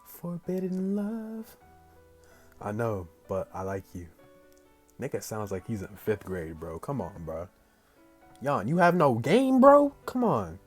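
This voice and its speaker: A man hosting a gaming video, reading a story aloud casually with slangy, animated asides. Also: mid-range voice, takes it slowly, faint music behind. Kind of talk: casual let's play, reading story aloud with excited reactions.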